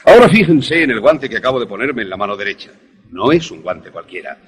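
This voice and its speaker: A man acting an enthusiastic professor in a radio drama, lecturing in a deliberate, didactic, theatrical way. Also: mid-range voice, big pitch swings, close to an everyday voice. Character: enthusiastic male professor demonstrating an invention to students.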